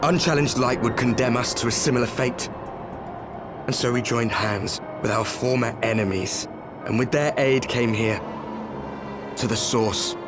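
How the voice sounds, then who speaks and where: An actor playing a grim, weary warrior from a doomed world in a game cutscene, speaking in a solemn, measured and deliberate manner. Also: male voice, mid-range voice, lightly acted. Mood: grave, resolute. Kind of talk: dramatic video game cutscene acting. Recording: loud music under the voice.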